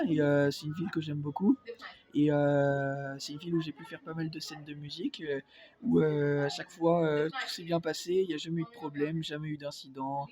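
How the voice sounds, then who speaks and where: Stuffy-nosed man rambling with crowd noise. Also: a little high. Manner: hesitant, many fillers, insistent. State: has a cold. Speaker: vlogger. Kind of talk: rambling opinion vlog.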